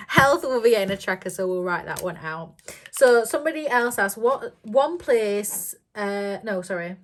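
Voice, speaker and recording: A woman chatting casually to camera about budgeting, rambling with lots of ums and little giggles, her voice a bit croaky. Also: medium pitch, animated, unhurried. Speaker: budgeting vlogger.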